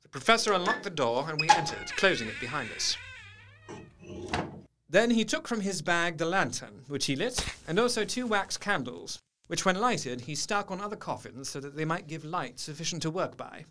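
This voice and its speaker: A man's voice: on the high side, sing-song, normal speed, noisy background. A man playing an earnest diarist recounting horrors in a gothic audio drama, with formal, intense, literary narration. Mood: grim, sombre.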